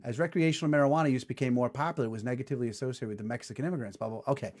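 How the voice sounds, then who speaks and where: A man in his forties hosting a casual comedic podcast chat, telling stories animatedly and doing quick voices. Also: mid-range voice. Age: 40s. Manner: animated storyteller, puts on voices. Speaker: podcast co-host.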